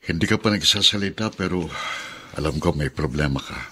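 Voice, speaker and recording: A man's voice: rumbling low, fairly quick, bright, forceful tone, breathy edge, full character voice. An actor with a Filipino accent playing a grave, weary middle-aged father in a radio drama. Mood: grave, resigned. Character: grave, weary father, burdened by family shame.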